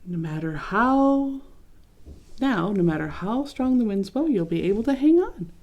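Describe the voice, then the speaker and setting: A woman's voice: mid-range voice, animated, a little slow, darkish tone, lo-fi sound, voice pushed up. A woman voicing a kind, eager young female leaf who helps her friend, in a children's storybook. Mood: encouraging. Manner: eager, encouraging.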